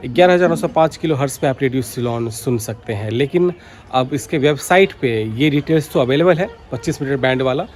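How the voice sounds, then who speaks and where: A man's voice: medium pitch, brisk, darkish tone. A man giving informal, explanatory vlog commentary, going back over his points as he speaks. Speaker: radio hobby vlogger. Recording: clearly noisy background.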